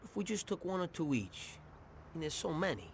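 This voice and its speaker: An actor playing a greedy, chummy small-time American mobster in a 1930s crime game, with slangy, cajoling streetwise talk. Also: male voice, on the high side, very animated, fairly quick, slight background noise. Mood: tempted, coaxing. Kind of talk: video game cutscene acting.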